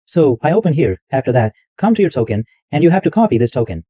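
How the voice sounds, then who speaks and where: A man hosting a screen-recorded software tutorial, narrating every click step by step in loose, repetitive wording. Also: medium pitch, big pitch swings, fast, husky, no echo. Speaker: tutorial host. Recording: dull lo-fi dry mic sound.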